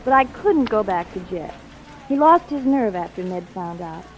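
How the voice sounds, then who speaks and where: A woman's voice: medium pitch, very animated, normal speed, lightly acted. A woman playing a breezy, flirtatious, amoral femme fatale stunt performer in a 1940s radio drama. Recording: noisy old radio recording.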